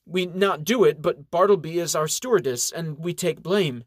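A man's voice: fairly high pitch, normal speed, dry recording, clean studio sound, voice pushed up, close to an everyday voice. A man voicing an earnest young elf woman. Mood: earnest, anxious. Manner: broken English, foreign lilt. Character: young female fire elf, earnest, worried.

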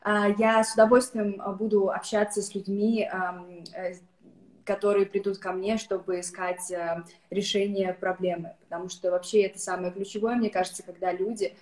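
A woman whose first language is Russian, a therapist-coach answering interview questions with halting speech and many filler sounds over a video call. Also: mid-range voice, level tone, a little mellow, roomy sound, poor audio.

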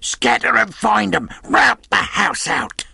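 A furious pirate leader from an adventure audiobook. He barks and curses in a strained, high shout, cruel and used to command. The sound is dry and close. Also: sing-song, takes it slowly, creaky, slightly rough, no echo, full character voice. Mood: urgent commanding. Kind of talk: audiobook character voice.

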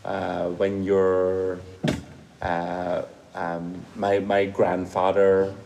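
A man's voice: low voice, completely flat delivery, very slow, creaky, warm tone, dull audio. A man, a Dutch speaker and teacher, answering interview questions thoughtfully and hesitantly with many fillers. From Flemish Belgium. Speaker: primary school teacher interviewed by a pupil.

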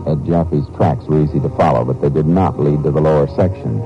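Deep-voiced, steady Texas Ranger. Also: male voice, brisk. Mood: matter-of-fact narration. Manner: terse, matter-of-fact, laconic. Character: steady, shrewd male Texas Ranger who narrates his case. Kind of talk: 1950s radio drama acting and narration. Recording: dull old radio recording, some music.

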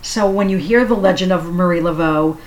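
A woman giving a casual spoken history talk, unhurried and halting with frequent fillers. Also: lowish voice, a little mellow, low-quality recording. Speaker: history storyteller.

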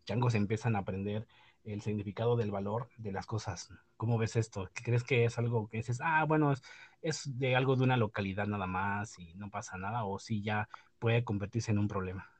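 A man's voice: lowish voice, dry recording. A man hosting a podcast, chatting casually about the news with many fillers and restarts. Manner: hesitant, many fillers and restarts, laughs at own remarks. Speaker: podcast host.

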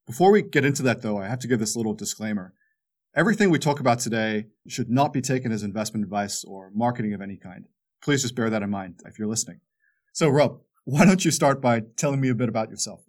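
A man's voice: mid-range voice, animated, fast. A man hosting a podcast, putting prepared questions to his guest. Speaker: podcast host. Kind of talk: podcast interview.

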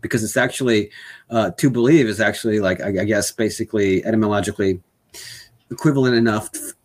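A man's voice: on the low side, fast talker. A man in casual conversation, speaking hesitantly with many fillers and restarts.

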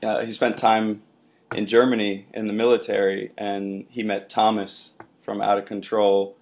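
A man with a low, fairly flat voice interviews a fellow dancer. He talks in a laid-back, casual way, filling his sentences with "like" and "you know". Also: a little slow, gravelly. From Washington DC area. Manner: laid-back, filler-heavy.

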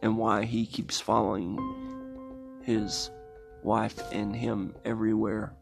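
A man hosting a podcast, reading a scripted introduction in deliberate, halting phrases. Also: fairly low pitch, level tone, creaky. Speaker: podcast host. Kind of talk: scripted introduction of old radio plays. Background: music.

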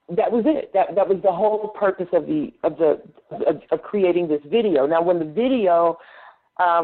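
A woman guest, a poet and speaker, candidly telling her story in a talkative way. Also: fairly low pitch. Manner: talkative, candid, restarts often. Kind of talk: personal storytelling on a call. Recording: tinny phone line.

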